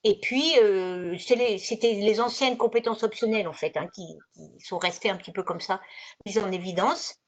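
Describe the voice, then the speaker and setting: A woman's voice: mid-range voice, normal speed. A woman presenting slides as a trainer in an online session, explaining in a conversational, didactic way and rephrasing as she goes. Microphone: video conference microphone. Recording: dull, lo-fi video call audio.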